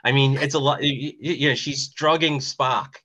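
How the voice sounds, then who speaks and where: A man's voice: mid-range voice, steady tone, normal speed, bright, forceful tone. A man who is a guest on a panel, chatting casually in a rambling way peppered with "you know". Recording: dull, lo-fi sound.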